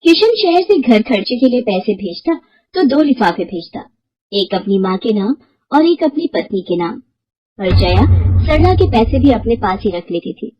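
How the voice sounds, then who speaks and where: A woman's voice: a little high, big pitch swings, moderate pace, warm tone, breathy edge, highs cut off. A woman narrating scripted moral stories as a storyteller.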